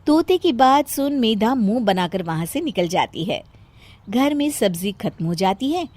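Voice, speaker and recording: A woman's voice: fairly high pitch, big pitch swings, measured pace, darkish tone. A woman narrating a scripted story as a storyteller.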